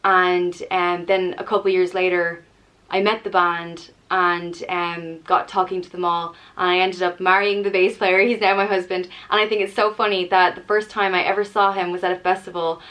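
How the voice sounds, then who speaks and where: A young Irish woman whose accent carries a Canadian tinge talks in a slightly low, easy voice. She reads each question aloud, then answers in a relaxed, chatty way, with small laughs between her thoughts. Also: normal speed, poor audio. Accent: Irish with a Canadian-tinged lilt. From Ireland. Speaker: vlogger. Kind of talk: casual question-and-answer chat.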